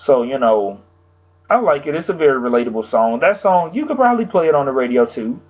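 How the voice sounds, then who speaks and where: A young man, slightly hoarse from a sore throat, giving a rambling, casual album review full of fillers. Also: mid-range voice, big pitch swings, brisk. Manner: rambling, loose, full of "like" and "you know". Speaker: music reviewer. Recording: tinny phone-like sound.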